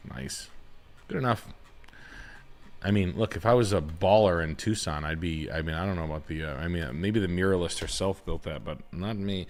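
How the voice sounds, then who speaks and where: A man's voice: low-pitched, normal speed. A young adult man hosting a livestream, rambling in a crude, laid-back way. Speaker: host. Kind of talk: casual livestream chat.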